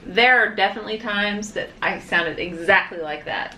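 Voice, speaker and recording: A woman with a faint Southern American accent chats and teases in a casual vlog. Her voice is bright and forward, and it rings in an echoey room with faint background noise. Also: medium pitch, moderate pace. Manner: chatty and teasing. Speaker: vlogger. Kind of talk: casual chat.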